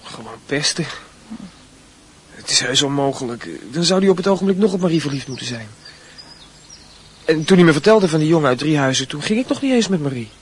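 A man plays an earnest teenage schoolboy in a radio play, with a lightish high voice and brisk delivery. He is brooding and stubborn, probing as he talks, over faint background noise. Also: expressive, natural voice. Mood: earnest, puzzled. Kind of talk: radio drama acting.

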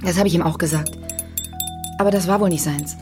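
A woman's voice: on the low side, fairly quick, over music, lightly acted. An actress playing a caring, saddened young woman in a naturalistic radio drama, subdued and reflective. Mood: reluctant, sad.

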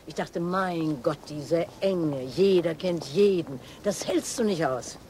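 An actress playing a distracted, lovestruck police inspector in a naturalistic radio drama, with a hesitant, dry delivery. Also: female voice, deep voice, slow, dark tone, a little hiss or hum. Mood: fond, relaxed.

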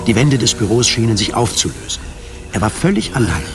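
A man narrating a scripted radio drama in a measured, literary storytelling manner. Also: on the low side, very animated, noisy background.

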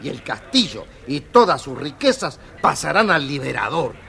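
An actor playing a gossiping tavern customer, confiding and emphatic, in a children's audio story. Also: male voice, a little high, very animated, moderate pace, vocal fry, pushed and bright, heavily acted. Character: gossiping tavern customer sharing a strange tale.

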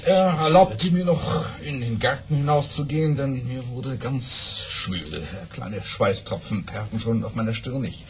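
An actor playing a boastful baron who spins tall tales with dramatic pauses and exclamations in an audio play. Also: male voice, medium pitch, a little hiss or hum, close to an everyday voice. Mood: suspenseful storytelling. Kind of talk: German audio-play storytelling.

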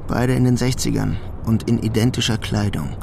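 A man playing a bitter New York criminal who narrates a crime radio play in a matter-of-fact, deliberate way. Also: fairly low pitch, fairly even intonation, gravelly, noisy background. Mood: tense recollection. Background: music behind the voice.

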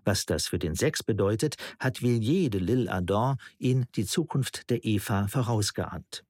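A man's voice: lowish voice, expressive, normal speed, darkish tone, no room sound. An actor playing an earnest male theorist who lectures precisely and didactically in a German radio play. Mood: earnest, didactic.

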